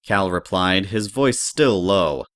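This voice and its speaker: A woman's voice: rumbling low, slow pace, no echo, studio quality. A woman narrator reading scripted story prose for an audio drama.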